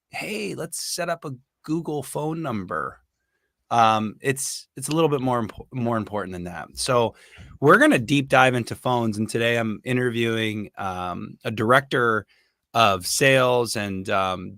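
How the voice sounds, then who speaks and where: A man hosting a podcast, his voice hoarse and raspy from a cold, chatting loosely and joking with his guest. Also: mid-range voice, fairly bright tone, no echo, clean studio sound. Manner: laid-back, joking, says dude a lot. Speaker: podcast host. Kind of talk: casual interview chat.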